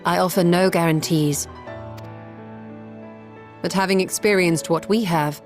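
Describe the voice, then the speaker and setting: An actress playing a composed, scholarly woman in a fantasy game cutscene, measured, formal and patiently explanatory. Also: on the low side, steady tone, close to an everyday voice. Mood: earnest, hopeful. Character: composed, scholarly female sage, patient and hopeful. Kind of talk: dramatic video game cutscene acting. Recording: music behind.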